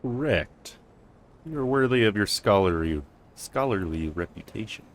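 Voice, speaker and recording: A man doing casual, quiet commentary as he plays through a video game. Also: fairly low pitch, expressive, a little slow, slight background noise. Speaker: game streamer. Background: game music.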